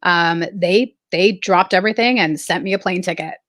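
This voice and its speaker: Woman guest, from southern california, thoughtful and halting, dry close sound. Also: fairly low pitch, fairly bright tone, no echo. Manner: reflective, halting, many fillers. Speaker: guest artist. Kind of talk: casual interview storytelling.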